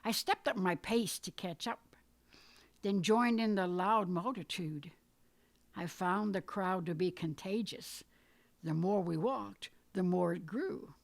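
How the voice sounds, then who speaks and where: A woman reading her own scripted poetic story aloud in a measured, deliberate storytelling manner. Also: lowish voice, creaky. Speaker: poet reading own work. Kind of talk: dramatic poetry reading, scripted narration.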